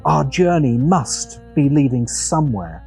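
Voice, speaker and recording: Mellow-voiced man narrating a video essay. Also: medium pitch, animated, unhurried, vocal fry, faint music behind. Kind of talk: scripted narration.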